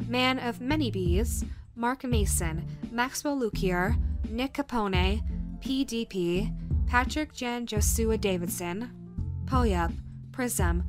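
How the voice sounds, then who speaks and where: A woman speaking as a channel host, reading out thanks and sign-off in an outro style. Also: on the high side.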